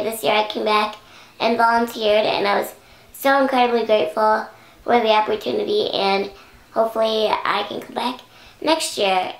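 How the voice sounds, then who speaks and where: A young woman vlogging to camera at home, hoarse and raspy from singing, chatting casually with lots of fillers. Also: mid-range voice, reverberant, rough recording. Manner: chatty, rambling with many "like"s. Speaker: YouTuber. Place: indoor room at home. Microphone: camera close up.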